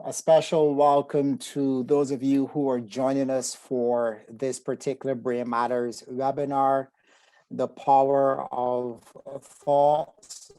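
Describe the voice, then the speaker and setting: A man with a Barbadian accent, a psychotherapist giving a measured, formal webinar talk on a video call. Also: mid-range voice, a little mellow, no echo, rough recording. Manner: measured, formal phrasing with frequent fillers. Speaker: psychotherapist presenter. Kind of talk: webinar presentation.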